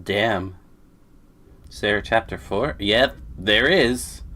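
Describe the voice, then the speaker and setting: A man's voice: lowish voice, slow, sharp tone. A man livestreaming a game with casual, laid-back, dry commentary. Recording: faint game music behind.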